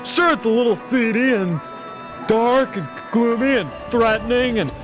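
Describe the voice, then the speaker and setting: Man as a high-pitched, excitable sidekick. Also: measured pace, over music. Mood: enthusiastic. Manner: loud, casual, eager. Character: excitable, brash young sidekick, eager and cheeky. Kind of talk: amateur audio drama acting.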